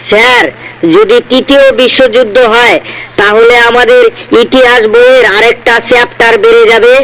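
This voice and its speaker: Cheeky cartoon schoolboy played by a man, very high voice, pleading and mock-earnest, slight background noise. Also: level tone, moderate pace, a bit bright, rough recording. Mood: eager. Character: cheeky male schoolboy full of silly excuses. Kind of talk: comedy cartoon dialogue.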